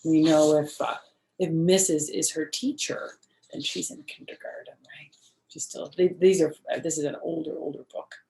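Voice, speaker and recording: A woman hosting a children's storytime, reading expressively and pausing to explain words simply to young listeners. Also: deep voice, fairly even intonation, gravelly, dark tone, a little hiss or hum. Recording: roomy, echoing home recording.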